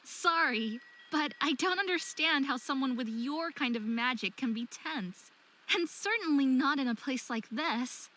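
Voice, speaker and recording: High, bright, earnest young hybrid woman, acted by a woman. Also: lively intonation, unhurried, full character voice, rough recording. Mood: puzzled, curious. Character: young faerie-descended hybrid woman, apologetic, wary, insecure. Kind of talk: visual novel game voice acting.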